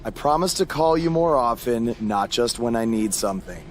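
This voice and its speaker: A man's voice: medium pitch, expressive, normal speed, natural voice. An actor playing a defiant, cheeky young male pro wrestler in a video game cutscene. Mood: friendly, casual.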